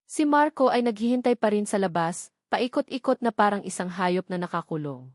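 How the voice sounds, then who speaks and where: A woman narrates a dramatic story in a scripted storytelling style. Her pitch sits in the middle range for a woman. The sound is dry, with almost no room in it. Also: animated, normal speed, a little mellow, no echo.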